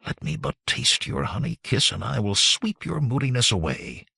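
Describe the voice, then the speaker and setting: A man voicing a self-assured, flattering nobleman courting in flowery words in a fantasy audiobook. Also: medium pitch, moderate pace, vocal fry, slightly rough, no room sound, clean studio sound, full character voice. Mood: wooing.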